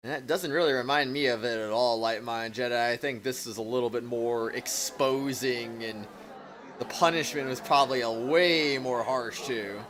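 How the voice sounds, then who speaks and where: A man's voice: mid-range voice, moderate pace, a bit bright. A man streaming a game, reading visual novel narration aloud and adding his own side commentary. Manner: reads stage directions and punctuation aloud.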